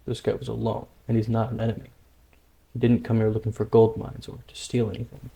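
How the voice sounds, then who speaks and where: An actor playing a calm, independent young tribesman, a stubborn younger brother, in a dramatic manga dub with an earnest, measured manner. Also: fairly low pitch, vocal fry, lo-fi sound. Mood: intrigued, calm.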